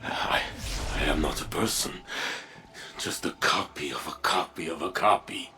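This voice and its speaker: An actor playing a tormented robot warrior in a video game, with a grave, halting delivery. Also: male voice, very deep voice, sharp tone. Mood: anguished. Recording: game music behind the voice.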